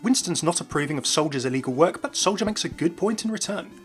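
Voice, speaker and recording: Fast-talking man, game commentary. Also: fairly high pitch, animated. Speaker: YouTube game lore presenter. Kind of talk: scripted video commentary. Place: voice-over with faint music behind.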